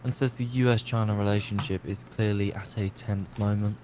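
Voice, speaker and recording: A man flatly reading market news headlines over a squawk feed. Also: fairly low pitch, brisk, noisy background.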